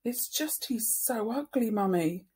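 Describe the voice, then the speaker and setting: A woman voicing a whiny, excitable little boy of about seven in a storybook, with a childish way of talking. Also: a little high, a little slow, husky, voice pushed up, full character voice. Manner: whining, childish.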